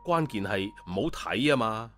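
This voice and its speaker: A man's voice: lowish voice, lively intonation, normal speed, a bit bright. Male storyteller over music. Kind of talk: colloquial audio story narration.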